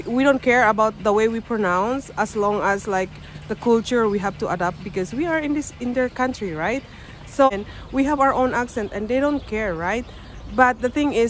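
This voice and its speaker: A woman's voice: a little high, a little hiss or hum. Chatty Filipino woman vlogging outdoors. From Philippines. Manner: chatty, explaining, loose grammar. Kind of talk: casual vlog talk to camera. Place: outdoors on a snowy suburban driveway. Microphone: phone held at arm's length.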